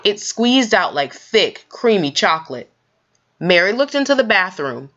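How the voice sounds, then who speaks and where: Woman reading a kids book out loud, really animated, bit of a dull lofi sound. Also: mid-range voice, a little slow. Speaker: storyteller reading a children's book aloud. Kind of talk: expressive scripted narration.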